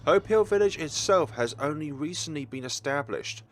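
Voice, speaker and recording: A man's voice: a little high, lively intonation, normal speed. A man reading in-game logs and notes aloud for a gaming video, with a dramatic delivery broken by wry asides and self-corrections.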